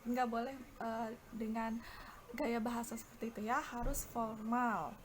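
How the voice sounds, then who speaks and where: Woman, Indonesian accent, higher-pitched voice, English teacher, explanatory bilingual delivery, noisy background. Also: steady tone, unhurried. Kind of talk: bilingual instructional lesson. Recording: clear background noise.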